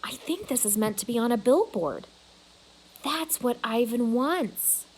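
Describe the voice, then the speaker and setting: A woman's voice: on the high side, animated, a little slow, darkish tone, breathy edge, heavily acted, low-quality recording. Woman reading as an eager, insistent young girl. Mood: excited. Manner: emphatic, pleading.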